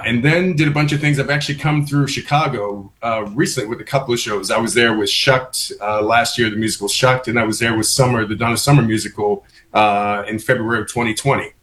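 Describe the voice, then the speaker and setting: A man's voice: lowish voice, a bit bright, slight background noise, low-quality recording. A man from Baltimore, a musical theater actor, talking friendly and upbeat in an interview over a video call. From Baltimore, USA. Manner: friendly, upbeat, some fillers.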